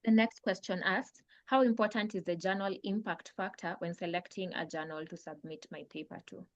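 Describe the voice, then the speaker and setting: A woman with a rather low voice reads attendees' questions aloud at a careful, even pace. Her audio comes through a dull, dry video call link. She sounds plain and unhurried. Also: no echo. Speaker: webinar moderator reading questions.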